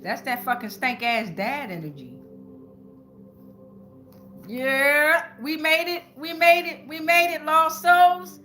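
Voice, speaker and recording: A woman with a bright, forceful, slightly high voice hosts an informal tarot reading. She talks to viewers in colloquial, salty language and repeats phrases like incantations. Soft music plays behind her. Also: takes it slowly, long pauses. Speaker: tarot reader and channel host.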